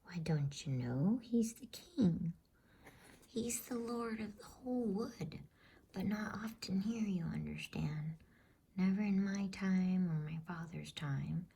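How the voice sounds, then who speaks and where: A woman voicing a grave, homely male talking beaver in a slow, folksy bedtime story reading. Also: medium pitch, vocal fry, dark tone, husky, pitched above the performer's own voice, full character voice. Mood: reverent.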